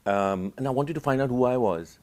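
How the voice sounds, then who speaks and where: A man's voice: medium pitch, a little fast, darkish tone. A middle-aged man from Pakistan speaking as an artist being interviewed, reflective and hesitant as he searches for his words.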